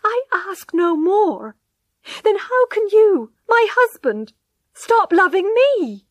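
A woman voicing a distraught young wife who pleads with her husband in a high, loud voice. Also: sing-song, slow pace, dry recording, exaggerated voice. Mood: pleading.

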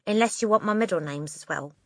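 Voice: mid-range voice, fast talker, no room sound, clean studio sound, voice pushed up, close to an everyday voice. A storybook narrator voicing a small, plucky schoolboy who answers bravely and with polite cheek. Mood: brave, nervous.

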